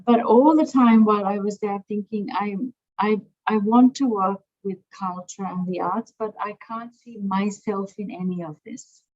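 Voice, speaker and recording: A middle-aged woman from Sri Lanka, a museum director speaking as a guest in an interview, thoughtful and searching for words with many pauses and fillers. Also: mid-range voice, steady tone, darkish tone. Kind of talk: interview conversation. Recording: dull lo-fi sound.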